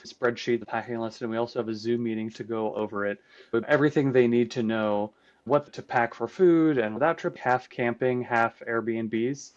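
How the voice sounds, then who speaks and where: A man's voice: medium pitch, steady tone, normal speed. Dull-sounding man explaining in conversation. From United States, Croatian immigrant family. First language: Croatian. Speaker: podcast guest, trip guide and composer.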